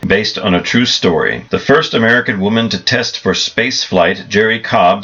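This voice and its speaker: A man's voice: lowish voice, fairly bright tone, muffled recording. A man making a formal promotional announcement between acts of a play. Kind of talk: scripted announcement.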